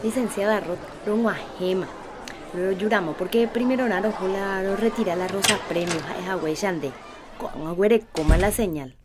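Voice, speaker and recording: A woman's voice: medium pitch, normal speed, a loud crowd, heavy background noise, close to an everyday voice. A woman playing a young patient in a radio drama, talking in a plain, matter-of-fact way. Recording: radio drama with loud guitar music.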